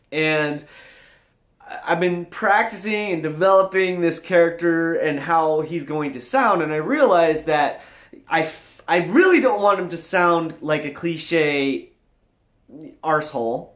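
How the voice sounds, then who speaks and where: An upbeat, high-energy man chatting casually as a vlog host, his voice moving animatedly up and down. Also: takes it slowly. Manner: animated, high-energy, rambling with swooping register. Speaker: vlogger and host. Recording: echoey room.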